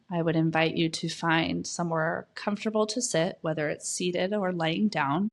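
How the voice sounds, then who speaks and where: Very slow-speaking woman guiding meditation. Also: on the low side. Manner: soft, gentle, unhurried instructing with long pauses between phrases. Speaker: meditation coach and guide. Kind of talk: guided meditation.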